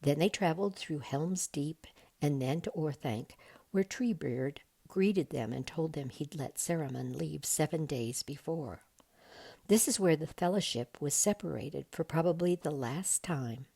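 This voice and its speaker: Female storybook reader: low, husky, deliberate bedtime narration with an unhurried pace. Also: warm tone.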